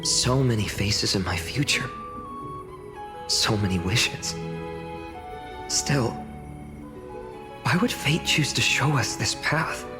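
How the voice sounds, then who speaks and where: An earnest young village hero in a fantasy game, kinda unsure and musing, slow, music behind. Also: male voice, medium pitch, expressive. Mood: solemn, musing. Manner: hesitant, musing, searching delivery. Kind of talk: English video game voice acting. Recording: long hall reverb under music.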